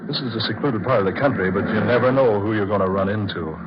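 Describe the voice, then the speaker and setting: An actor plays a protective, level-headed leading man of old radio drama. His voice is very low, with a matter-of-fact, reassuring delivery, on a muffled recording full of loud noise. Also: fast, natural voice. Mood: easygoing. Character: steady, protective man soothing his sweetheart. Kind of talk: 1940s radio drama acting.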